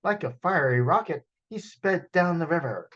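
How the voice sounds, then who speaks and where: A man reading a children's story aloud in an expressive, animated storytelling style, with dramatic gasps and sound effects. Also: a little high, moderate pace, highs cut off. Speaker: children's author reading his book aloud. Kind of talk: animated picture-book storytelling.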